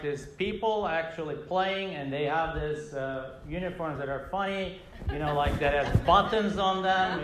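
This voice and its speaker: Halting Turkish-accented man telling a story. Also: fairly high pitch, roomy sound, noisy background. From Turkey. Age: middle-aged. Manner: halting, many fillers and restarts. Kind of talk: live personal storytelling. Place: stage in a hall with a live audience.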